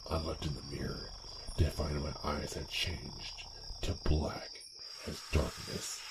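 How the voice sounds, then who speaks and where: A man playing a vengeful, hate-driven assassin in a fantasy ASMR roleplay monologue, brooding and intense, with halting, breathy phrases. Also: extremely low pitch, little pitch movement, very noisy. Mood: grim, focused. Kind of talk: dramatic first-person roleplay monologue, ASMR.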